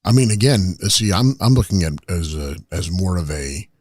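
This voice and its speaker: A crude, smug old business mogul, as a man puts him on, rambling in a very low, husky voice full of stammered restarts. He sounds close and dry, with no room around him. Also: lively intonation, moderate pace, a little mellow, no echo. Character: crude arrogant retired wrestling tycoon, older man. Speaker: comedy podcast guest character. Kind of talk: improvised comedy podcast.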